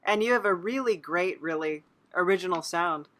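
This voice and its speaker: Bright-voiced woman interviewer. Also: lowish voice, normal speed. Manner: encouraging, affirming listener. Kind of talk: casual interview.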